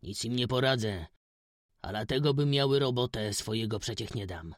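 A man's voice: medium pitch, expressive, normal speed, dry recording, clean studio sound, natural voice. Man playing a hard, stubborn old peasant farmer. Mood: stubborn, forceful. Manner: blunt, emphatic rustic speech.

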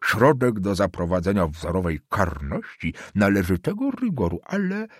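A man voicing a cranky old male official who rants in a shrill, slow voice. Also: sing-song, no room sound, studio quality. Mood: scornful. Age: older. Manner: shrill, slow, shouting tirades. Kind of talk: audiobook character voice.

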